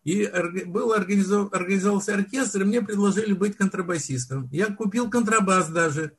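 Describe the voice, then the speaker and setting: An older man with a husky, breathy voice that sits high for a man. He reminisces at an easy pace, often stopping and restarting mid-word as he recalls details. It sounds like a relaxed conversational interview. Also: brisk. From Soviet Union. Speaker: film director, interview guest. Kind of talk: unhurried storytelling in conversation.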